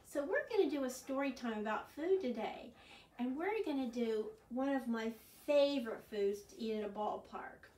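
A woman in her sixties presenting a children's storytime, expressive and often prompting the children with questions. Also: on the high side, normal speed. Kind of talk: children's storytime, singsong chanting and read-aloud. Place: small furnished living room, indoors. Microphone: camera a few metres away. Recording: roomy, distant camera microphone.

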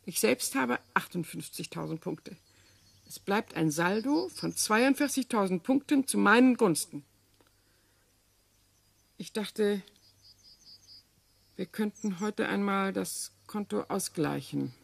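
An actress playing a genteel, coolly calculating older wife in a radio drama, speaking precisely and formally. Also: female voice, medium pitch, expressive, slow pace, vocal fry, long pauses, no echo, exaggerated voice. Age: middle-aged or older.

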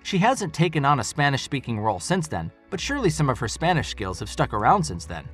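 A man's voice: mid-range voice, very animated, fast talker, fairly bright tone, soft background music. A man narrating a compilation video in a scripted, upbeat way.

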